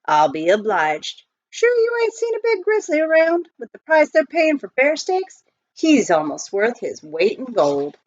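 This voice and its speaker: A woman's voice: sits high, wildly swooping pitch, a little slow, no echo, dull audio, pitched above the performer's own voice, heavily acted. A woman reading a children's storybook, voicing a big reformed outlaw with a drawling, rustic, amused frontier way of talking. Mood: wry, teasing. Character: reformed outlaw, big man, folksy and teasing. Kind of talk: children's storybook read aloud.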